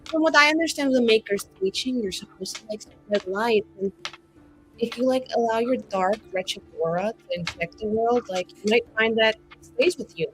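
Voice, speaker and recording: A woman's voice: on the high side, moderate pace, fairly bright tone, soft background music, lightly acted, low-quality recording. A woman voicing a sweetly preachy, passive-aggressive young woman in a hesitant, filler-laden way. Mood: sweetly scolding.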